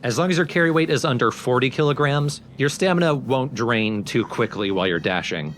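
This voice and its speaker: A man's voice: medium pitch, animated, brisk, a bit bright, a little hiss or hum. A man giving casual, explanatory commentary on a video game as he plays. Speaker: let's play commentator.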